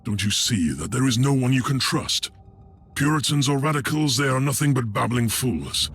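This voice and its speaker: An actor playing a self-assured fanatical renegade in a video game, measured, formal and quietly menacing. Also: male voice, medium pitch, lively intonation, a little hiss or hum. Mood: fervent, assured. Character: calm, fanatical male renegade, coldly courteous and self-assured. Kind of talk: theatrical video game voice acting.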